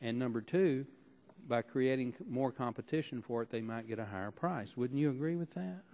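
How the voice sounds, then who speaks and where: A man's voice: medium pitch, brisk, darkish tone. Teasing congressman questioning a colleague. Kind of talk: committee hearing debate. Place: committee hearing room.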